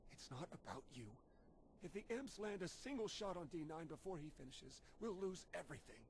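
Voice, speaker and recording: A man's voice: high-pitched, expressive, a little fast, slight background noise. An actor playing an anxious, insistent male colony researcher in a video game, with a fretful delivery. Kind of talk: video game cutscene acting.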